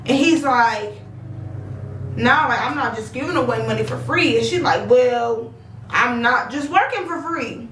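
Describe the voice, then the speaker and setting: A young woman talking bluntly in a casual vlog, swearing freely and projecting a loud voice. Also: on the high side, unhurried, a little hiss or hum. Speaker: vlogger. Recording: echoey room, voice a bit far from mic.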